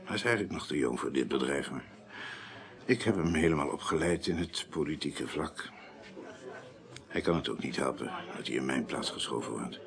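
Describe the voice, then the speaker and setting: Embittered ex-naval politician, extremely low voice, vocal fry, hesitant, aggrieved, near breakdown, radio drama. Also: male voice, lively intonation, moderate pace, full character voice. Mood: resigned, bitter. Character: embittered, washed-up male politician and former naval officer, close to breakdown. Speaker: actor in a radio crime drama. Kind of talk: 1980s Dutch radio play acting.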